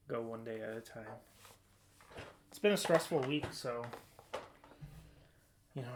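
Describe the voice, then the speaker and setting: Man, mid-pitched voice, podcast host, casual chat, informal phrasing. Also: a little mellow, a little hiss or hum.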